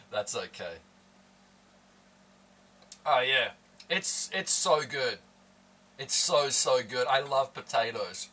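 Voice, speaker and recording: A man's voice: mid-range voice, lively intonation, very slow, long pauses, pushed and bright, recorded from a distance. A man chatting casually with viewers on a live stream while eating, teasing and joking with his chat. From born in Hong Kong, raised in Australia. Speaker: livestreamer.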